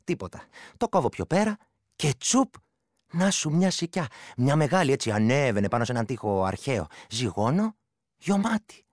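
An actor playing an earthy, irreverent village ex-soldier who spins yarns excitably in a radio play monologue. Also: male voice, medium pitch, no room sound, clean studio sound, natural voice. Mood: grumbling. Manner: animated, exclamatory, earthy. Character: earthy, irritable male village ex-soldier, irreverent yarn-spinner.

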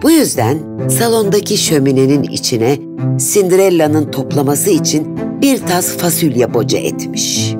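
A woman's voice: sits low, expressive, normal speed. A woman reading a scripted children's fairy tale as narrator. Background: instrumental music.

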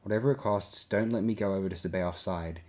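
A guarded, bitter woman in an audiobook, voiced by a male narrator in his own deep register. She speaks low and curt, with hard intensity. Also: a little fast, a little mellow, lightly acted. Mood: grim, intense. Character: tough, guarded, bitter woman, quick to snap.

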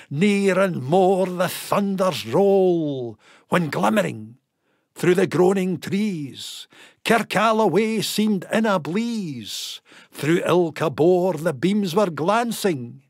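A Scottish man reciting a narrative poem in Scots in a theatrical, deliberate, emphatic storytelling manner. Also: a little high, creaky, no room sound, studio quality.

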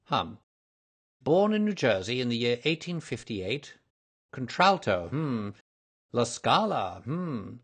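Incisive gentleman detective acted by a male reader, storybook character, dry, languid, impatient, superior, husky. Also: medium pitch, very animated, takes it slowly, voice pushed up, heavily acted. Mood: musing, brisk.

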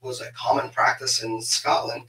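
A middle-aged man hosting a casual interview over a video call, talking in a halting way and repeating words as he goes. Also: medium pitch, flat delivery, a loud crowd. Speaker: podcast host. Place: small home office on a video call. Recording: bright, roomy, distant mic with hiss.

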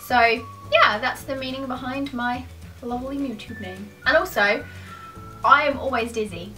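A woman's voice: fairly high pitch, unhurried, bright, forceful tone, recorded from a distance, soft background music. A British woman in her twenties chatting casually to camera in an informal, self-deprecating, rambling way. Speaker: YouTuber answering questions to camera. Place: small furnished room at home, indoors.

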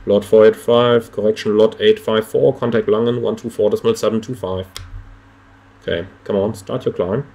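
A man's voice: lowish voice, fairly even intonation, normal speed, dark tone. A man giving clipped, procedural radio instructions as a flight simulator air traffic controller, with brief asides to viewers.

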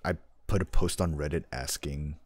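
A young man with an extremely low, bassy voice rambles in a flat, deadpan way while he plays. The sound is dry and close, with no room around it. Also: moderate pace, no echo. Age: young adult. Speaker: streamer. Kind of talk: casual chat while gaming. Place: home room, seated.

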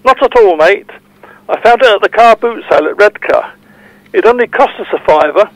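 An actor as a high-voiced football collector. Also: male voice, expressive, brisk, no echo, low-quality recording. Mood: matey, earnest. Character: male football memorabilia collector, gutted, matey caller. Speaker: podcast phone-in caller. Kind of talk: conversational audio drama acting.

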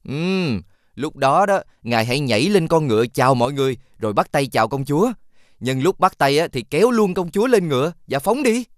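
A man voicing a clever, helpful talking fox in an animated fairy tale, coaxing and explaining. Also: fairly high pitch, brisk, no echo, clean studio sound, natural voice. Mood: scheming, reassuring.

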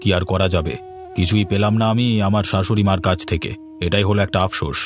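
A man's voice: sits low, fairly even intonation, quick pace, faint music behind, close to an everyday voice. A man voicing a complaining young son-in-law in a cartoon, grumbling and resigned.

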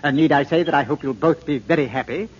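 An actor playing a courteous, fussy estate lawyer in 1940s radio drama style. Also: male voice, fairly high pitch, fast, highs cut off, natural voice. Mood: courteous, businesslike. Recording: band-limited, faintly noisy old radio.

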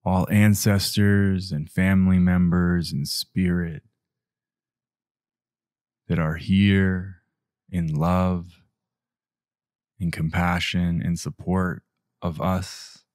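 A man leading a guided meditation in a gentle, hushed delivery, leaving long pauses between short phrases. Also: low-pitched, little pitch movement, no room sound, clean studio sound. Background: music.

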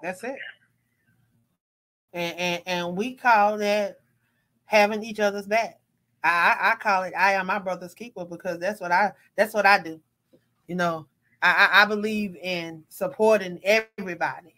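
Bright-voiced woman host, preachy. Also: mid-range voice, fairly even intonation, normal speed, long pauses. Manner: preachy, restarts often, rising to exclaim. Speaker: podcast host. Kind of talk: casual faith-based panel talk. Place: home room on video call.

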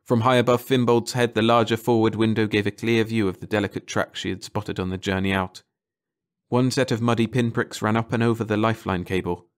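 A man's voice: lowish voice, flat delivery, brisk. A man reading a scripted story as an audiobook narrator.

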